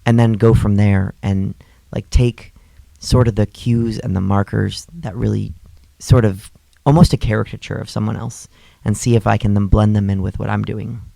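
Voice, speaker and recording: A man's voice: on the low side, fairly even intonation, moderate pace, vocal fry, warm tone, no room sound. A young adult man co-hosting a podcast, a comedian and drag performer, chatting casually with many fillers and restarts. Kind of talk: casual chat.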